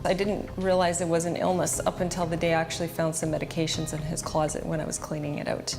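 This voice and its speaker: A woman's voice: deep voice, little pitch movement, fast, vocal fry. A woman giving a personal testimony, recounting events in an earnest, plain-spoken way. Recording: music bed under the voice. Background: background music.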